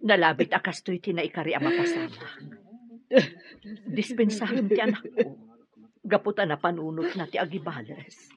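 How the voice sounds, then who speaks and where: A woman's voice: mid-range voice, animated, moderate pace, long pauses, lightly acted. A Filipino woman acting in a radio drama as a humble, sorrowful mother. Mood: apologetic, sorrowful. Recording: dull lo-fi radio audio with music.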